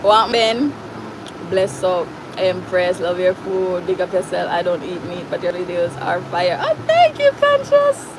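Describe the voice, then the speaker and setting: A woman's voice: lowish voice, sing-song, unhurried, background noise. A woman with a Jamaican accent hosting a casual livestream, reading out viewer comments in a chatty way and slipping into Patois phrases. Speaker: livestream host.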